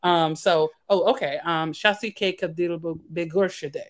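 A woman's voice: on the low side, steady tone, measured pace. A woman from Philadelphia chatting as a podcast guest. She rambles, with many fillers and restarts. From Philadelphia, USA. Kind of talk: casual podcast chat.

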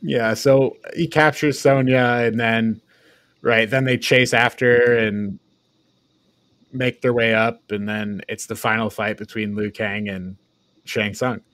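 A man hosting a podcast, casually recapping and discussing a film in a halting way that tends to trail off. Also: on the low side, steady tone, a bit bright, no room sound. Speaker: podcast host.

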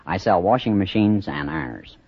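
A man's voice: low-pitched, a little fast, gravelly, breathy edge. A man giving brief interview answers as a contestant on an old radio quiz show. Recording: muffled, noisy old radio recording.

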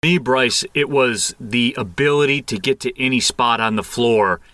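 A man giving animated, conversational sports analysis as a guest, repeating phrases for emphasis. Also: mid-range voice, moderate pace, dry recording.

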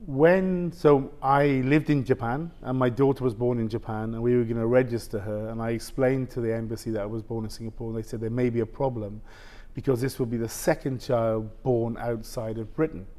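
A man in his fifties, a guest telling a personal story in casual conversation, halting and full of restarts. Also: mid-range voice, steady tone, dark tone. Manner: halting, with many restarts and "basically". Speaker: interview guest.

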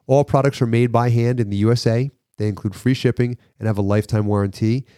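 A man's voice: mid-range voice, fairly even intonation, quick pace, mellow, clear, resonant tone, no room sound, clean studio sound. A male podcast host with a slight cold and congestion, chatting casually and reading sponsor ads. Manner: loose and chatty, reads sponsor spots. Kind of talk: casual podcast chat with ad reads. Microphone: studio podcast mic, dry sound.

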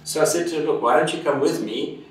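A man's voice: on the high side, fast, slight background noise. Rambling man, echoey distant room. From Zimbabwe. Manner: rambling, warm storytelling with many fillers and restarts. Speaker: interview guest, drone instructor and pilot. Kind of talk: casual conversational interview.

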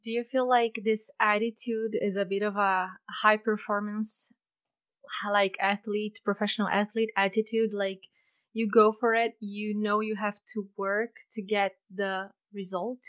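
A woman hosting a podcast interview in her home office, cheerful and quick to laugh. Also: medium pitch, level tone, fairly bright tone, muffled recording. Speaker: podcast host.

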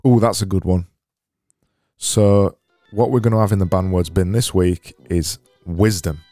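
Deep-voiced Barnsley man, sarcastic podcaster. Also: measured pace, dry recording, soft background music. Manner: sarcastic, deadpan self-mocking. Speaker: podcast host. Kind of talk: solo comedic podcast monologue.

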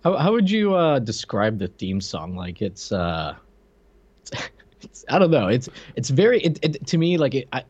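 A man's voice: mid-range voice, very animated, moderate pace, a little mellow, husky, dry recording. A man in his forties co-hosting a podcast in casual chat, on a slightly muffled mic. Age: 40s. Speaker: podcast co-host.

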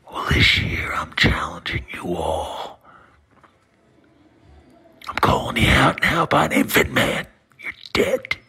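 A man cutting a creepy, slow, whispered wrestling promo with a raspy voice, taunting his rivals. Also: fairly low pitch, pushed and bright. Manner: slow, creepy, taunting, laughs between threats.

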